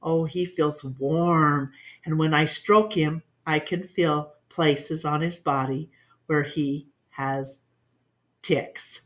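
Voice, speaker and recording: A woman reading a children's book aloud as a storyteller in an expressive read-along style. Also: low voice, slow pace.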